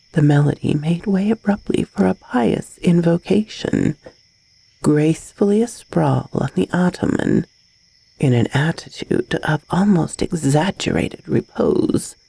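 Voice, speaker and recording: A woman reading a gothic bedtime story as scripted narration, in a husky, breathy voice with a measured, dramatic delivery. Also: deep voice, expressive, dark tone, poor audio.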